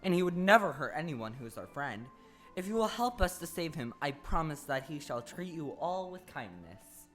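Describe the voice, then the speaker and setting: A woman's voice: deep voice, big pitch swings, normal speed. A woman playing an earnest, friendly, take-charge scarecrow in a stage audio play, with an emphatic, urging delivery. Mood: earnest, encouraging. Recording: live stage recording with music.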